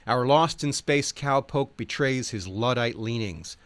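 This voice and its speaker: A man reading scripted nonfiction in a wry, expressive storytelling manner. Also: mid-range voice, unhurried, no echo. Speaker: audiobook reader. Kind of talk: scripted nonfiction narration with acted quotes.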